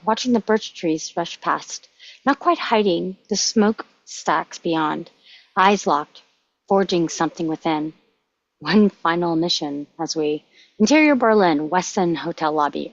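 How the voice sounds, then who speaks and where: A woman reading scene headings and stage directions as scripted screenplay narration. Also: lowish voice. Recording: roomy, dull muffled microphone sound.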